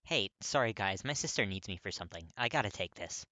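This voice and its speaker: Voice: low-pitched, expressive, brisk, bright, forceful tone, dry recording. A narrator voicing a friendly, eager young man with a bouncy, casual manner in an audio story. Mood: apologetic.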